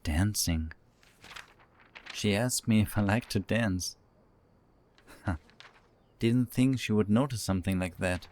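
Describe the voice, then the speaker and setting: A man acts an introspective young man in an audio drama. His voice is fairly low as he muses to himself, polite and a little formal. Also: takes it slowly, pauses often, darkish tone, slight background noise. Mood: thoughtful. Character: sluggish, introspective young German man in another body. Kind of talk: audio drama acting, inner monologue.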